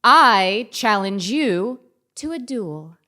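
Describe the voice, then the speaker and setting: A woman's voice: mid-range voice, animated, slow, pushed and bright, a little hiss or hum. A woman acting a self-serious dragon slayer in a comic stage play, declaiming in mock-epic fashion. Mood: grandiose, defiant.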